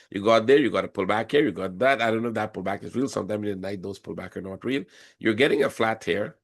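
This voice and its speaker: A man with a cold and a hoarse voice, explaining chart patterns step by step during a live trading session. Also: fairly low pitch. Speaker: forex trading instructor. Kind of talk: live trading room commentary.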